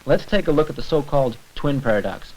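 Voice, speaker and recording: A man's voice: medium pitch, expressive, fast, darkish tone, rough recording. A man giving scripted educational film narration, explaining things step by step and addressing the viewer directly.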